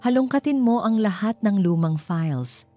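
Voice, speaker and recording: A woman's voice: mid-range voice, animated, normal speed, mellow, faint music behind, voice pushed up, close to an everyday voice. Woman reading as a weary, determined male heir. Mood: weary, resolute. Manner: weary, commanding, earnest.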